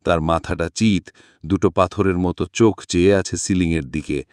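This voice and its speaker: A man reading a mystery story as a scripted audiobook narration, with an expressive, dramatic storytelling delivery. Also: low voice, moderate pace, no echo, studio quality.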